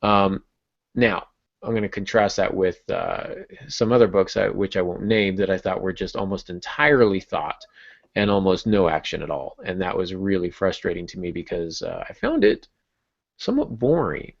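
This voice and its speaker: A man with a low, creaky voice talks as a podcast host. He explains in an informal, conversational way with wry asides. The recording sounds a little muffled. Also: animated, moderate pace. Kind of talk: conversational writing discussion. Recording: muffled lo-fi audio.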